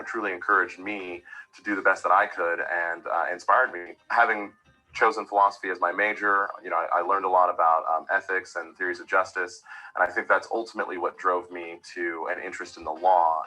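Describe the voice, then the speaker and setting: A man's voice: low-pitched, bright, forceful tone, rough recording. A man speaking articulately and reflectively in a recorded testimonial.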